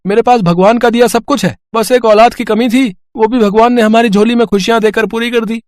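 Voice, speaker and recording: Guy doing a well-off traveller husband, high voice, earnest, pleading, moral cartoon story. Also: fairly even intonation, brisk, a little mellow, no echo, studio quality. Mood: grateful, reassuring. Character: wealthy, grateful traveller husband pleading for help. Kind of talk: dramatised moral story acting.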